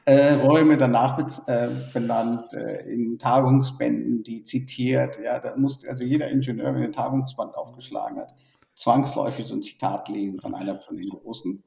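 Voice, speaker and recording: Thoughtful gravelly man from Burma, roomy. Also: medium pitch, normal speed, mellow, recorded from a distance. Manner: thoughtful, hesitant with many fillers. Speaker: interview guest, engineer. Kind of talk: reflective conversational interview. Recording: echoey room, off-mic.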